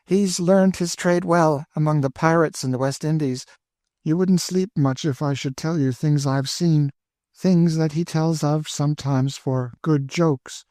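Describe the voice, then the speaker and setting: Man doing a bitter worn-out woman, dry and hopeless, pitched up, dead dry room. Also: mellow, no echo, natural voice. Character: bitter, despairing grown woman, weary and dry.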